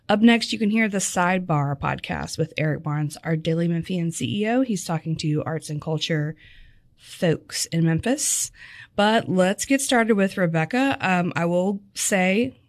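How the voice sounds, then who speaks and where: A woman hosting a dining podcast in casual chat, a little hoarse, chatty and quick to laugh. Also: lowish voice, dry recording. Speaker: podcast host.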